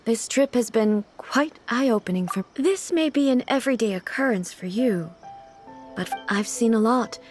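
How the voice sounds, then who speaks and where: A woman playing a reserved, ageless female ruler in an anime video game, speaking in a formal, measured, thoughtful way. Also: on the high side, a little mellow, natural voice. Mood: solemn, pensive. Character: dignified, reserved female ruler, ageless, formal, naive about ordinary life. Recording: music playing behind.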